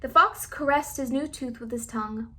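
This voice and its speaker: A woman narrates a children's picture book with plenty of expression. Her voice is very high and bright, suited to read-aloud storytime. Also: normal speed.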